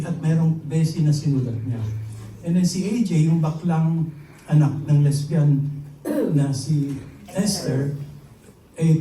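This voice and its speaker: A Filipino man with a very soft, dark voice pitched a little high explains things to an audience. He is deliberate and repeats key phrases. The sound is roomy and slightly distant. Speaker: novelist at a press conference. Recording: roomy, distant mic, faint noise.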